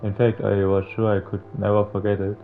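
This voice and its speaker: A man's voice: lowish voice, little pitch movement, fairly quick, gravelly. A young man streaming a game, reading its text aloud and breaking off into casual, slangy, sweary joking. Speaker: streamer playing a visual novel. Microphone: streamer microphone with face cam. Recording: narrow phone-like audio with music behind. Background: game music.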